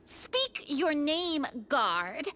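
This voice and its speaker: A woman voicing an excitable, earnest young girl adventurer in an anime game, eager and easily flustered. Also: high voice, drawn-out words, heavily acted. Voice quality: girlish. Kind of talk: anime video game voice acting. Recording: music playing behind the voice.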